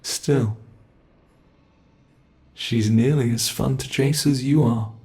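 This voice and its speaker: A British man playing a gravelly taunting monster. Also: mid-range voice, darkish tone. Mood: gloating. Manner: slow, taunting, menacing. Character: cruel male monster hunting a captive. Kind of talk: ASMR horror roleplay.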